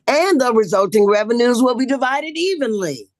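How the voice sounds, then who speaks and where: A woman's voice: on the high side, expressive, moderate pace, dry recording, studio quality, close to an everyday voice. A woman playing a gracious big-city boss visiting a small town, in a script table read.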